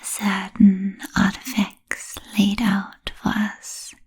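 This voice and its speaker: An ancient, genteel vampire played by a woman whispers in an ASMR roleplay. The delivery is extremely slow and almost monotone, with a very breathy, voiceless softness. The phrasing is formal and deliberate, soothing yet politely predatory. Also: mid-range voice, exaggerated voice. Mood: languid, courteous. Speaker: ASMR performer.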